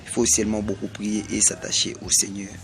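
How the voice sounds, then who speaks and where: A man from Congo with a Congolese French accent, delivering a slow, repetitive prophetic sermon. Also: lowish voice, monotone, gravelly, soft background music. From Congo (DRC). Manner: slow, repetitive, emphatic pronouncements. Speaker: self-styled prophet.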